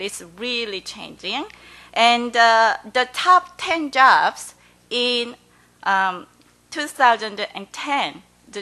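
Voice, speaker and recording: Korean-accented woman, bright and high. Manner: halting, full of hesitations. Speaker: forum panelist. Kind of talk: conference panel remarks.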